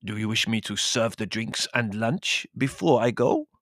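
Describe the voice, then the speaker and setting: A male narrator plays a proud Greek manservant from a storybook. He is formal and solemn with a fierce temper underneath. His delivery is emphatic and moves widely in pitch. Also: sing-song, measured pace, fairly bright tone, no room sound, studio quality. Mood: solemn formality.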